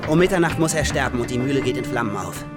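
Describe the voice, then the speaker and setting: A man's voice: mid-range voice, animated, racing, lightly acted. An actor playing a sure, loyal miller's journeyman in a radio drama. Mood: grim certainty. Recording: loud music behind the voice.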